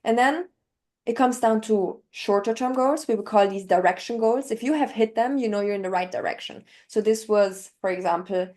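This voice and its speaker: A woman's voice: fairly high pitch, moderate pace, dark tone. A young woman in her twenties whose first language is German, coaching on language learning and eagerly walking through her method step by step in an interview. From Northern Italy. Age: 20s. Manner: enthusiastic, explanatory, walks through steps with examples. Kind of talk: interview conversation.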